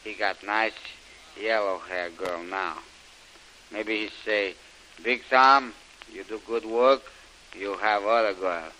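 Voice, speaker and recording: Brutish radio-serial thug, gloating in slow pidgin English, piercing edge, hiss on the recording. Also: male voice, lowish voice, pushed and bright, low-quality recording. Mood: leering, scheming. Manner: broken pidgin English, blustering. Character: burly brutish henchman Big Tom, gloating and bloodthirsty. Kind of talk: 1930s radio adventure serial acting. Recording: hissy old radio recording.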